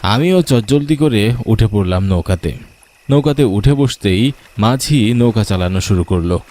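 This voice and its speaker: Low-voiced man narrating horror. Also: lively intonation, moderate pace, warm tone. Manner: dramatic, suspenseful. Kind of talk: scripted first-person horror story narration.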